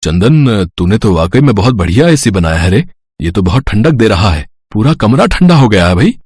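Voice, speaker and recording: A man's voice: fairly low pitch, sing-song, moderate pace, darkish tone, husky, no echo, clean studio sound. A man voicing a weary, humble poor potter father in an acted moral story. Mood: pleased.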